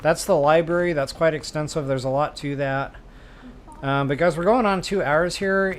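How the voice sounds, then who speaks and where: A man's voice: fairly high pitch, a little hiss or hum. A man giving casual, chatty walkthrough commentary as a gaming streamer, addressing viewers informally.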